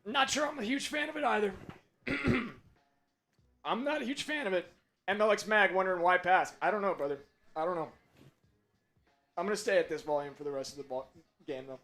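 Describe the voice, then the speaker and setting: A man calling football plays live as a fan for a watch-party stream, losing his voice from screaming, with big plays yelled and quieter talk hushed and strained. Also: sits high, brisk, gravelly, pauses often, faint music behind. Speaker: watch party play-by-play host. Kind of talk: excited fan play-by-play on a livestream.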